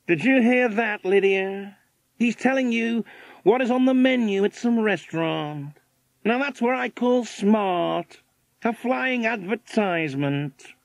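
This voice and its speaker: Loud, high-pitched brash American millionaire. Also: male voice, animated, takes it slowly, gravelly, no echo, full character voice, low-quality recording. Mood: amazed. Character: big brash American burger millionaire, eager and impressed.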